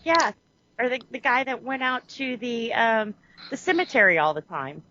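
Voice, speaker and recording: Lively woman laughing through a podcast. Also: a little high, normal speed, no room sound. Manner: animated, laughs often, acts out characters' lines. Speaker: podcast co-host. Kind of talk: casual movie discussion. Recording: dull lo-fi podcast audio.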